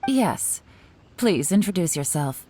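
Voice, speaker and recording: A self-assured game official with a fairly low voice. She weighs things analytically and coolly, slightly teasing, over clearly audible music. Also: big pitch swings, slow, dark tone. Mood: composed. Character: shrewd, self-assured woman official assessing candidates. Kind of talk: video game voice acting.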